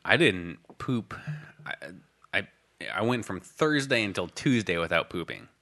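Young man, bright low voice, loose rambling chat-show storyteller. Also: expressive, unhurried. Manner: rambling storyteller, frequent restarts and fillers. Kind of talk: casual chat.

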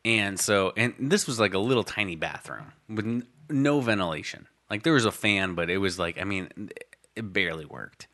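Young man, bright low voice, loose rambling chat-show storyteller. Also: animated, normal speed. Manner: rambling storyteller, frequent restarts and fillers. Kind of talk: casual chat.

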